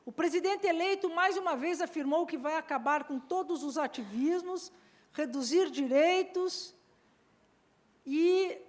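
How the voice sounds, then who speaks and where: A woman delivers an academic law talk in a high voice. She is earnest and lifts her voice on the points she wants to stress. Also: unhurried, darkish tone. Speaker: law professor and panel speaker. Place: seminar hall.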